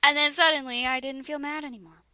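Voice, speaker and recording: A young woman reading high, bright and giggly. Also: steady tone, fast, no room sound, clean studio sound. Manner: stumbling over words and laughing while reading aloud. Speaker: reader of a parody fan fiction. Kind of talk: giggly comedic dramatic reading.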